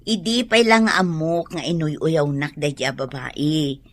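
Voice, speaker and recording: An actress with an Ilocano accent playing a concerned, gossipy village woman in a comic radio drama, expressive and questioning. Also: low-pitched, takes it slowly, no echo, full character voice. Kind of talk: comedic radio drama acting.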